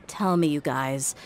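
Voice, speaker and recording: A tough, low-voiced young mercenary. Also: female voice, gravelly, a little hiss or hum, exaggerated voice. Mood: exasperated. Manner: sardonic, matter-of-fact. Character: tough, frank young desert mercenary woman, quietly tender. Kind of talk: video game voice acting. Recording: voice over background music.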